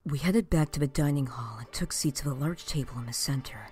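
A woman voicing a calm, weary teenage narrator in a scripted audio drama, subdued and matter-of-fact. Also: rumbling low, a little fast, music in the background.

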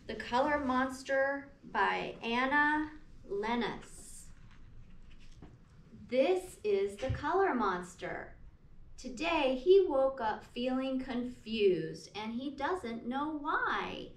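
A middle-aged woman reading a picture book aloud to young children as a teacher, with deliberate, sing-song storytelling. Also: sits high, lively intonation. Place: small classroom, indoors. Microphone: camera a few meters away. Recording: echoey room, distant mic, background noise.

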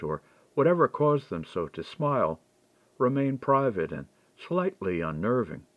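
A man reading a historical mystery as an audiobook, in a measured, expressive storytelling manner. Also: medium pitch, no echo, highs cut off.

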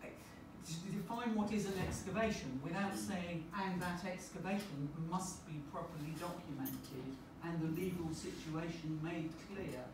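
A woman's voice: fairly low pitch, a little slow, few pauses. Firm woman, distant and echoey. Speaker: panel speaker. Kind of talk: panel debate. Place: lecture room, indoors. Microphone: far camera in the audience. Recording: very echoey room, distant, noisy.

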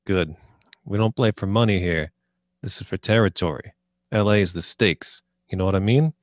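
A menacing, crude gambler rules the poker table, voiced by a man in a film table read. His voice sits fairly low and very dry, and he talks in curt, profane commands. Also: moderate pace, no room sound, natural voice. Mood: mocking, commanding.

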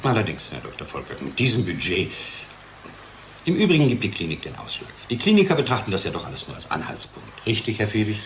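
A man's voice: on the low side, wildly swooping pitch, fast talker, a bit bright, slight background noise, full character voice. An actor playing a defensive, complaining male lab researcher in a radio drama. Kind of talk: conversational radio drama acting.

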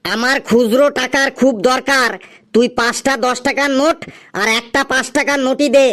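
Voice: high voice, steady tone, normal speed, fairly bright tone. An actor playing a grumpy, stubborn tea stall keeper in a comedy cartoon, scolding and insistent. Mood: wary, insistent.

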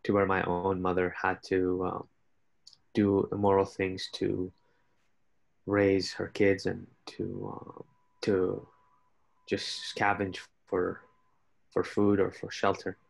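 Male workshop participant, low-pitched with heavy fry, plain-spoken personal storytelling over a video call. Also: monotone, slow pace, long pauses, low-quality recording. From born in Iraq, grew up as a refugee in several countries. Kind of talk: personal testimony.

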